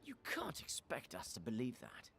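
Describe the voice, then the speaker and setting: An actor playing a wary, suspicious adventurer in a video game cutscene, questioning in a measured way. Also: male voice, medium pitch, huge pitch swings, gravelly, a bit bright, heavily acted.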